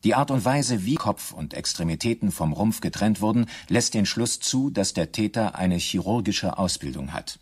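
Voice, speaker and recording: Low, husky official. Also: male voice, lively intonation, moderate pace, hardly any pauses. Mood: sober, official. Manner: formal, matter-of-fact, precise. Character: sober male official reporting police findings. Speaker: radio drama actor. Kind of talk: formal reading of an official report.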